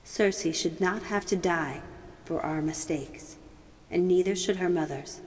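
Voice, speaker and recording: Storybook ancestral spirit, female reader: low, mellow, serene and solemn, drenched in long echo. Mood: calm, solemn. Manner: calm, solemn, measured. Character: otherworldly female ancestral spirits speaking as one, calm, serene. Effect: long echoing reverb. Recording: voice drenched in long hall-like reverb.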